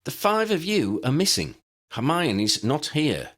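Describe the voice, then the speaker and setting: A man voicing a spirited, forthright young woman reporter in an audiobook reading, with a brisk manner. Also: a little high, very animated, fairly bright tone, pitched above the performer's own voice, full character voice.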